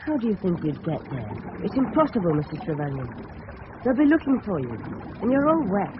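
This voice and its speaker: An actor playing a plucky, earnest English teenage schoolboy in a 1930s radio mystery drama. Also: male voice, high-pitched, huge pitch swings, brisk. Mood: earnest, pleading. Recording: muffled, very noisy old radio recording.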